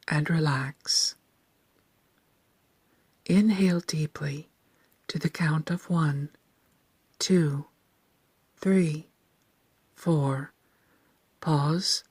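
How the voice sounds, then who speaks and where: A woman narrating a scripted guided meditation in a soft, breathy, near-whispered voice, calm, deliberate and soothing. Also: low voice, no room sound.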